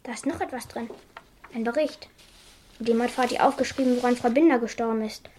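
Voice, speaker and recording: A woman's voice: fairly high pitch, fairly quick, darkish tone, slight background noise, close to an everyday voice. An actress playing a wounded, earnest young girl confessing in short plain sentences in a 1970s radio crime drama. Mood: sad confession.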